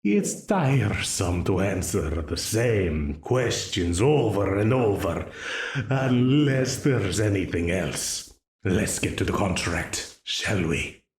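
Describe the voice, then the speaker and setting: Menacing demon voiced by a man for an audio drama: mocking, condescending, slightly low voice, unhurried. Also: very animated, echoey room, full character voice. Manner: mocking, condescending, laughs often. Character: smug ancient male demon dealmaker, menacing. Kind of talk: ASMR audio roleplay.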